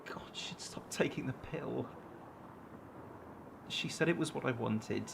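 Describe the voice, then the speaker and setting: A man's voice: medium pitch, unhurried, vocal fry, noisy background. An actor playing an anxious, defensive man in a naturalistic audio drama, hesitant and pleading. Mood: flustered, pleading. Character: anxious, defensive man clinging to an abusive girlfriend.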